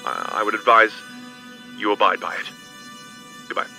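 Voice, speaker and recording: An actor playing a formal, insistent agency caller in a video game, polite but pressing. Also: male voice, lowish voice, expressive, moderate pace, creaky, pushed and bright, soft background music, heavily acted. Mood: stern, insistent. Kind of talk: naturalistic video game acting.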